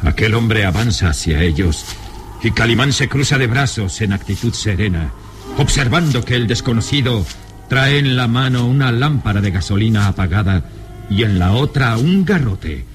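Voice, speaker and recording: A man's voice: fairly low pitch, expressive, normal speed, faint music behind. A man narrating a radio serial in a suspenseful, theatrical style.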